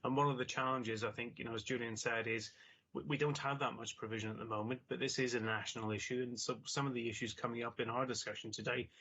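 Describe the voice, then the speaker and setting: A man giving a measured explanation at a council meeting. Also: medium pitch, fairly even intonation, pushed and bright, slight background noise. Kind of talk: answering questions at a council meeting. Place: video call. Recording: lo-fi video call audio.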